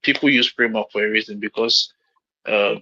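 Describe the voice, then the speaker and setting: A Nigerian-accented man in his late thirties talks frankly over a call, circling back and restarting as he gives advice. His voice has a bright, forceful edge. The audio is a little dull and lo-fi. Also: fairly low pitch, fairly even intonation, fairly quick. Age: late 30s. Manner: frank, rambling, repeats himself with restarts. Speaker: mentor hosting a call.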